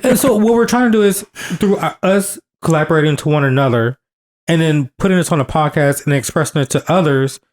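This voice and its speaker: A man in his thirties with a somewhat high voice talks through a podcast topic in a casual, earnest way. He circles back on his phrases, stacking repetitions for emphasis, and often restarts mid-sentence. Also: a little fast. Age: 30s. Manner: earnest, repeats himself for emphasis. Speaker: podcast co-host.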